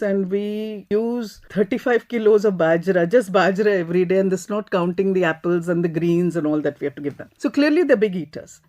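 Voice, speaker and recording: A woman with an Indian accent speaks in a mellow, dark-toned voice at an average pitch. She is an activist on a discussion panel, reflective and earnest, often repeating phrases to stress a point. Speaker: animal rights activist, panelist.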